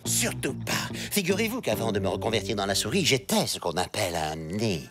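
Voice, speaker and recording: A man's voice: lowish voice, big pitch swings, a little fast, gravelly, music in the background. A man voicing a pompous, obsessive male exterminator in a cartoon, theatrical and self-important. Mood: boastful, aggrieved. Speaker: voice actor. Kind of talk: cartoon dub acting.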